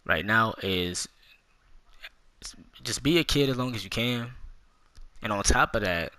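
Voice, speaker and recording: A man's voice: lowish voice, animated, unhurried, sharp tone, no room sound. A man in his twenties ranting casually and with a lot of swearing, talking straight to young viewers as a gaming YouTuber. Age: 20s. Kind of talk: casual storytime rant.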